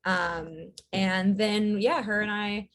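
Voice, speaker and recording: A woman's voice: medium pitch, steady tone, slow, fairly bright tone. A woman who writes and directs, chatting casually as a guest in an interview, telling her story in a rambling way. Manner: rambling, storytelling, frequent filler words.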